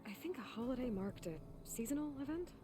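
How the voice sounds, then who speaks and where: A woman's voice: on the high side, lively intonation, brisk, over music, close to an everyday voice. An actress playing a level-headed young woman adventurer in a video game, matter-of-fact and understated. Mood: calm, wry.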